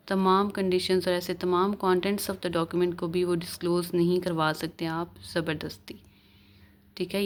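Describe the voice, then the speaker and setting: A woman with a Pakistani accent teaches law in a slightly low, very even voice. She reads out formal legal text, then explains it step by step, moving between English and Urdu and often adding small confirming tags.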